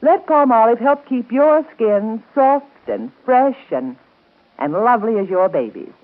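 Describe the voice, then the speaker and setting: A woman's voice: fairly high pitch, expressive, a little slow. A woman reading a scripted 1930s radio advertisement, addressing the listener directly with emphatic persuasion. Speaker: commercial spokesperson. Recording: tinny, band-limited 1930s radio broadcast.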